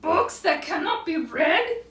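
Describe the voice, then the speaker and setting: A woman voicing a self-pitying male owl from a storybook, with a drawn-out, plaintive delivery. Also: high-pitched, very animated, fairly bright tone, a little hiss or hum, voice pushed up, heavily acted. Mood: tearful, mournful. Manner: drawn-out, tearful wailing. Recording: roomy, distant from the mic.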